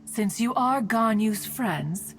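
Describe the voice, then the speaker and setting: A woman's voice: a little high, animated, slow, a little hiss or hum. A woman voicing an ancient, proud and doting female immortal in a video game, speaking in formal, archaic phrases. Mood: proud, fond. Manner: stately, archaic formal phrasing, calls herself "one".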